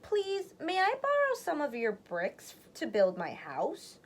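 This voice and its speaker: A woman's voice: high-pitched, huge pitch swings, slow, pitched above the performer's own voice, full character voice. A woman voicing a polite, plucky young male little pig in a storybook, with squealing pleas and cries. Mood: polite, eager.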